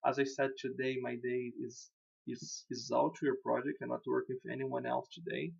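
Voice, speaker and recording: A man's voice: mid-range voice, little pitch movement, normal speed, vocal fry, breathy edge, dull audio. A man whose first language is Portuguese, speaking English casually as a web designer while walking a client through their website, repeating words and laughing at himself. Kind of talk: casual screen-recorded walkthrough.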